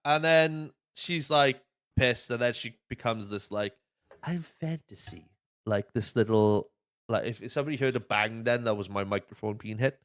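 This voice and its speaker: A man hosting a casual film podcast, retelling plots in an animated, sweary way. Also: mid-range voice, moderate pace, clean tone. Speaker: podcast host.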